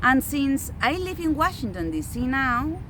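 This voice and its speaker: A woman's voice: high voice, big pitch swings, a little slow. A woman voicing a spirited, chatty activist in a children's book read-aloud, recorded outdoors by a street. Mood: eager. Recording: street and car noise behind.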